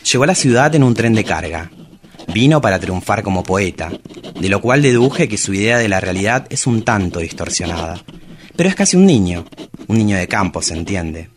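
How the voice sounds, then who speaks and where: A man's voice: fairly low pitch, expressive, moderate pace, noisy background, lightly acted. A man playing a self-dramatizing, bawdy travesti poet with an Argentine accent in a radio drama, theatrical, provocative and tender. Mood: confiding, wistful. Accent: Argentine Spanish. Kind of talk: radio drama monologue and dialogue.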